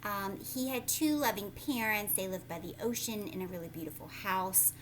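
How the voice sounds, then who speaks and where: A woman teacher reads aloud to children in an expressive, emphatic way, at an average pitch for a woman. She mixes storytelling with explanatory asides, with faint noise in the recording. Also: moderate pace, rough recording.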